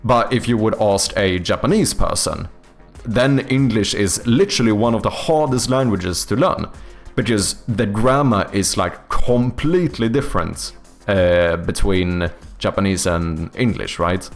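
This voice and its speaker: A Swedish man, a native Swedish speaker, chatting casually on a livestream and thinking out loud, with many fillers and restarts. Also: on the low side, measured pace, soft background music. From Sweden. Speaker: game developer streamer. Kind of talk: casual livestream chat answering viewers.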